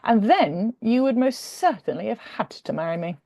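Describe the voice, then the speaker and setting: Forward young Regency heroine, read by a woman, teasing, insistent, mellow, romance audiobook. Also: on the high side, big pitch swings, moderate pace, vocal fry, no room sound, clean studio sound, heavily acted. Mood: bold, challenging. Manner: cool, insistent, dismissive. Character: bold, elegant, teasing young Regency lady.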